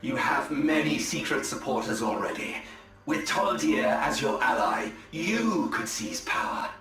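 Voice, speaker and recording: A sly alien ranger, scheming in a fairly high, sharp voice. He is measured and insinuating, drenched in echo, in a game cutscene. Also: lively intonation. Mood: persuasive. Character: sly, conspiring male elf-like alien ranger. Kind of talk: dramatic video game cutscene acting. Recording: strong echo, music behind.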